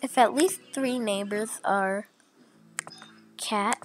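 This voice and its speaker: A woman's voice: mid-range voice, takes it slowly, soft background music. A young woman who is sick and sounds a little off, chatting casually with many fillers as she walks through a screen recording. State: sick, voice a bit off. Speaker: channel host.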